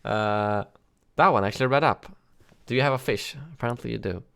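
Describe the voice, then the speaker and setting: Smooth-voiced man chatting on a livestream. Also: medium pitch, brisk, a bit bright. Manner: chatty, reads and answers viewer chat; frequent fillers and self-corrections. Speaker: gaming news livestreamer. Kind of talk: casual livestream chat.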